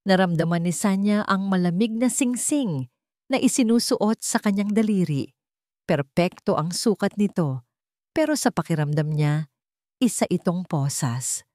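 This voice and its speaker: A woman storyteller giving a scripted, dramatic narration of a love story in a measured, solemn manner. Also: mid-range voice, animated, a little mellow, dry recording, clean studio sound.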